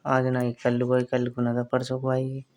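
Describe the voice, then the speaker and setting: A man's voice: mid-range voice, completely flat delivery, normal speed, a little mellow. A man chatting casually on a phone call, coaxing and repeating his questions. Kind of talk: casual intimate phone chat. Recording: clearly noisy phone call recording.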